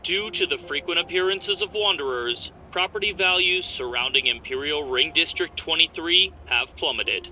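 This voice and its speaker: A man's voice: a little high, normal speed, hardly any pauses, bright, forceful tone, a little hiss or hum, low-quality recording. An actor playing a formal male news reporter in a video game, reading a scripted bulletin. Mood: matter-of-fact.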